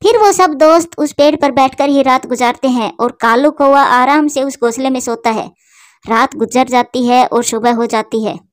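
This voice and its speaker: A woman's voice: sits high, moderate pace, no room sound, studio quality. A woman narrating a scripted children's story for a cartoon.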